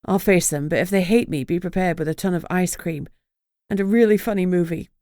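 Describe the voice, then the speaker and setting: Woman doing a shaken young British woman, earnest; lowish voice, dry sound. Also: a little fast, a little mellow, clear, resonant tone, no echo, lightly acted. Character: shaken young woman facing her fears.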